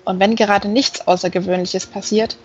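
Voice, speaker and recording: A woman's voice: mid-range voice, fairly even intonation, normal speed, darkish tone, highs cut off, close to an everyday voice. A woman voicing a curious, plain-spoken village woman in an audiobook, direct and inquisitive. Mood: amused, frank.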